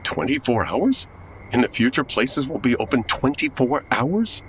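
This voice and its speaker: A man's voice: medium pitch, very animated, a little slow, slight background noise. An actor playing an anxious, bewildered, earnest man from the 1940s in a comedy audio drama. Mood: weary, puzzled. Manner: fretful, earnest. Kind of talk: comedy audio drama acting, old-time radio flavour.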